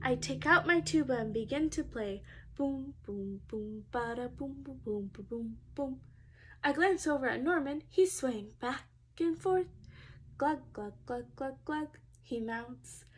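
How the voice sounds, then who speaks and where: Female preschool teacher for storybook reading. High-pitched, unhurried, expressive, talks straight to small children. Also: slight background noise. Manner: expressive, addressing young children directly.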